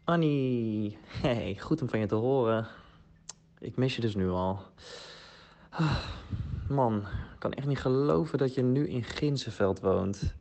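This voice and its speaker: Wistful young man, mid-pitched. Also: animated, a little slow, a little mellow. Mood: wistful, affectionate. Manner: casual, hesitant. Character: young man, affectionate friend left behind, wistful. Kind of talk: naturalistic audio drama, voice message.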